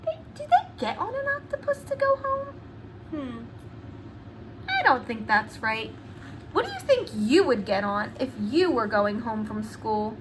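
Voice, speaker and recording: Female preschool teacher, high and very lively, slow deliberate diction, faint background noise. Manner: enunciates words deliberately, asks the children questions. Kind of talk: animated read-aloud lesson for young children.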